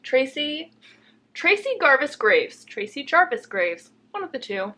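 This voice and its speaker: A young woman with a bright, lively-edged voice talks casually as a book vlogger. She is playful and teasing, and gushes freely in an everyday conversational way. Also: sits high, measured pace. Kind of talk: casual chat.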